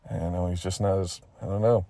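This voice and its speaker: Casual rambling young dude, read by a man, curious, lowish, dry sound, comic storytelling. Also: fairly even intonation, fast talker, vocal fry, dark tone, dry recording. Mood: puzzled.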